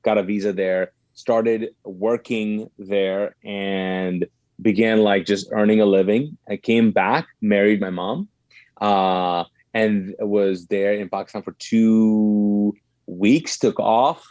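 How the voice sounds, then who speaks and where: A man's voice: on the low side, fairly even intonation, slow, darkish tone, dry recording, highs cut off. A middle-aged man from Kuwait, a podcast guest telling personal stories conversationally, with asides and self-corrections.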